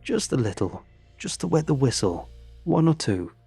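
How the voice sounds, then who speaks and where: A man's voice: medium pitch, big pitch swings, normal speed, creaky, dark tone, breathy edge, dry recording, faint music behind, pitched above the performer's own voice, heavily acted. Man acting out a matey, slurring British drunk. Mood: coaxing, matey. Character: cheerful drunken British man, blokey and boastful.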